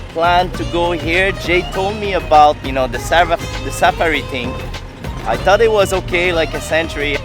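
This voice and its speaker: A man's voice: a little high, normal speed, music in the background. A man from Montreal vlogging from a safari jeep, chatty and teasing his travel partner. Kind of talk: casual travel vlog chat.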